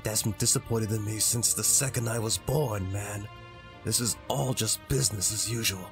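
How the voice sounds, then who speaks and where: A man's voice: lowish voice, moderate pace, natural voice. A man playing a defeated young man in a comic dub, pleading, bitter and self-pitying. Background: music.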